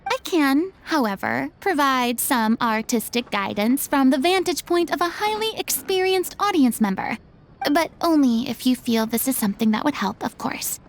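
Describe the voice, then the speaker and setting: A woman's voice: high voice, lively intonation, moderate pace. A woman playing a proud, grandiose young former celebrity performer in a video game, with florid theatrical delivery. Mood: proud, self-assured.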